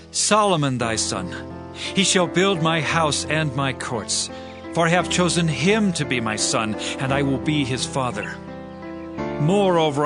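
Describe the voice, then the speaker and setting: An aging king in a dramatized scripture production. His voice sits fairly high as he proclaims with solemn, commanding weight and measured emphasis. Music plays clearly behind him. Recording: voice over prominent music bed. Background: background music.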